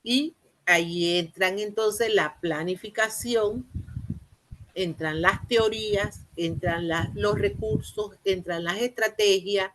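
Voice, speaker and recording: A woman with a Panamanian Spanish accent giving an explanatory lecture, haltingly, repeating and rephrasing phrases and posing rhetorical questions. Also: mid-range voice, fairly bright tone, poor audio. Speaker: university lecturer in teacher training.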